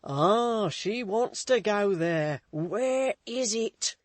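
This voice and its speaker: A reader voicing a gruff, exasperated male coach driver who complains in a growling, rustic way. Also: medium pitch, lively intonation, drawn-out words, no room sound, voice pushed up. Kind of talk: audiobook character voice.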